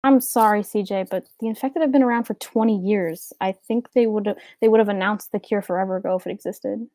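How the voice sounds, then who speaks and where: A woman's voice: mid-range voice, steady tone, fairly quick, dark tone, no room sound, lightly acted. Woman as a practical, guarded young mage. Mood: matter-of-fact. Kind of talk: amateur script table read.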